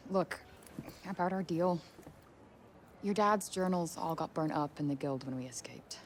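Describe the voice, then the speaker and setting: An actress playing a blunt, reluctant young heroine in a casual, naturalistic video game style. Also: female voice, low-pitched, unhurried, a little hiss or hum. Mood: subdued.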